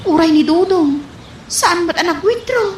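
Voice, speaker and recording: Woman doing flustered ilocano wife, really high swoopy voice, radio comedy, echoey with faint noise. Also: animated, unhurried, darkish tone. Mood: worried. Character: flustered, evasive wife and dutiful daughter. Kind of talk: radio comedy drama acting.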